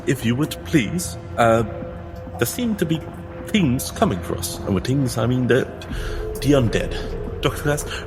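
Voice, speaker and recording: A man voicing a frightened necromancer losing control, speaking in halting, formal, pleading phrases in a tabletop game. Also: mid-range voice, close to an everyday voice.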